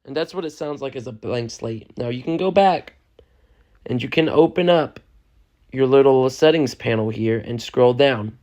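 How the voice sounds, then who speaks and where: A young man, a music producer, giving a loose, chatty step-by-step software lesson and often checking in with the listener. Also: mid-range voice, moderate pace. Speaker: music producer teaching a tutorial. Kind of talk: casual step-by-step software tutorial.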